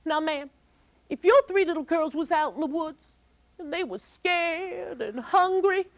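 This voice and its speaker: A woman's voice: very high voice, a little slow, pitched above the performer's own voice. A woman voicing a clever, plucky young girl with folksy speech. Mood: pleading. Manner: folksy dialect, pleading politely.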